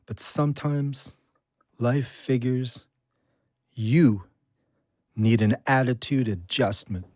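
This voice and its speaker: Actor doing canadian ex-con in a radio play, dry close recording, slow and reflective, earnest. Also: male voice, medium pitch, steady tone, a little mellow, no room sound, lightly acted. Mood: wry reflection. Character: Henry, reflective ex-convict man trying to stay sober. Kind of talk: audio play acting with narrated asides.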